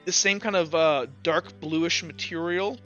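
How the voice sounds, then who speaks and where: Male tabletop game narrator, bright and forward, halting and repetitive delivery, lo-fi sound. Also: on the high side, fairly even intonation. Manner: halting, repeats words while searching for description. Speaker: game master. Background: music.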